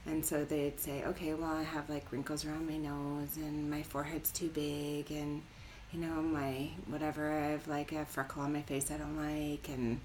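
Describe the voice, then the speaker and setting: A middle-aged woman with a fairly low voice hosts a casual interview. She is chatty and animated, sprinkling her talk with "like" and "right?". There is clear background noise on the recording. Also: normal speed, rough recording. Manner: chatty, informal, animated storytelling with frequent "like" and "right?". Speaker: podcast host.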